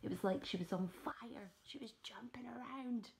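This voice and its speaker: A woman's voice: fairly high pitch, normal speed, gravelly, darkish tone, slight background noise. A woman reading a children's story aloud like a primary teacher, with dramatic emphasis, speaking directly to young listeners.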